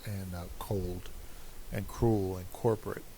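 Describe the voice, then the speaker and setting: Gravelly man musing while gaming. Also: low-pitched, steady tone, slow, mellow, slight background noise, poor audio. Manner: rambling, musing aloud while playing. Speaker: gaming streamer. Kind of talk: casual gameplay commentary.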